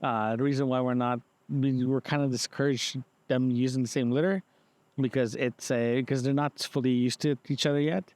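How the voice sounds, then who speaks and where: A man recording a casual podcast diary outdoors in a park, talking in a halting, rambling way and often repeating himself. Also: medium pitch, fairly even intonation, a little mellow, dry recording. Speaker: podcast host.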